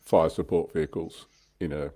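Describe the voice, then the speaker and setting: A man's voice: extremely low pitch, steady tone, a little fast, mellow. A man co-hosting a casual livestream chat, thinking aloud and explaining his speculations. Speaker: livestream co-host on tabletop wargaming show.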